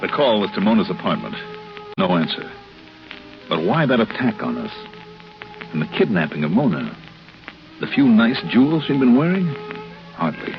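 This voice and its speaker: An actor playing a terse, wry insurance investigator, clipped and businesslike, in an old radio detective drama. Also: male voice, fairly low pitch, sing-song, normal speed, creaky, long pauses. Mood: narrating. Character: terse, hard-boiled male insurance investigator narrator. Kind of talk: 1950s radio detective acting. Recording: muffled old radio recording, music behind.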